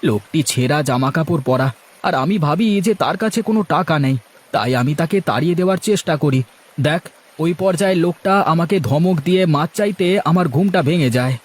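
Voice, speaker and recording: A man voicing a good-natured but anxious village fisherman in a moral-story cartoon, animated and homely, full of exclamations. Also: fairly high pitch, a little fast. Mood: troubled. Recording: music behind the voice.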